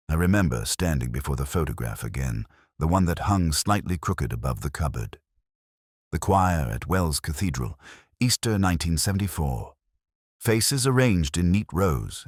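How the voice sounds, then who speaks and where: A man narrating a scripted first-person detective story in a measured, reflective, literary manner. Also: rumbling low, no echo, studio quality.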